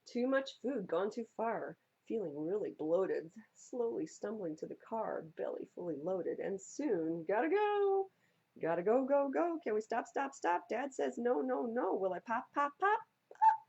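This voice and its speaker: A woman reads a children's picture book aloud with playful, theatrical emphasis. Her voice is husky and mellow with some creak in it. The recording is slightly dull and lo-fi. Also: a little high, very animated, a little slow. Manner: playful, theatrical, stresses and repeats words for young listeners. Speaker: library storytime reader. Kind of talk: expressive read-aloud of children's picture books.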